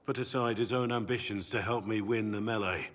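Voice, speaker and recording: A man playing a brooding, honourable middle-aged warrior in a video game, with measured, earnest delivery. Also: fairly low pitch, steady tone, natural voice. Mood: earnest, reflective. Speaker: video game character voice actor. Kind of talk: dramatic game dialogue.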